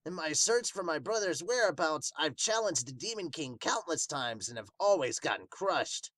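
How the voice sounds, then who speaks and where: An earnest warrior beast played by a man, devoted and dramatic, in an amateur manga dub. The voice is pushed high and swings widely, with formal, fervent phrasing and a dry, close sound. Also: sing-song, normal speed, a bit bright, dry recording. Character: male warrior beast, earnest, devoted, booming big brother.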